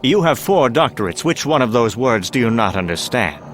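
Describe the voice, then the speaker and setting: A man acting as a menacing, grandiose scientist-industrialist in a comic-book drama, speaking theatrically with deliberate pauses. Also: medium pitch, very animated, fairly bright tone, a little hiss or hum. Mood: cold threat.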